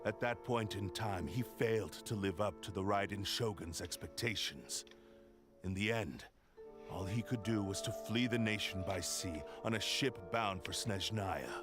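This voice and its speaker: An actor playing a proud, bitter cursed sword with a consciousness of its own, in solemn, formal speech for a video game. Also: male voice, on the low side, unhurried, music in the background, natural voice. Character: cursed sentient sword, proud, bitter, speaking through a man.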